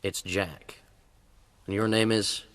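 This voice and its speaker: An actor playing a brash, wealthy investor in a radio drama, with a blunt, informal manner. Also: male voice, lowish voice, fairly even intonation, slow, a bit bright, no echo. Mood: confident. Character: brash, wealthy male investor, awkwardly flirtatious deal-maker.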